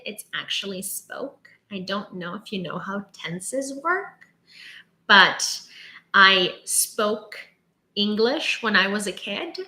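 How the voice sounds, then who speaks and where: A woman's voice: medium pitch, pushed and bright, rough recording. A Ukrainian-born woman in her late twenties with a near-American sound, hosting a live news stream and chatting sassily with viewers. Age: late 20s. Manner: chatty, sassy, quick asides to chat.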